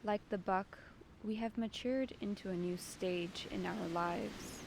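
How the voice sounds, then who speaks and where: A woman leading a guided meditation as its host, giving soothing, deliberate instructions in short phrases. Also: mid-range voice, fairly even intonation, gravelly, background noise.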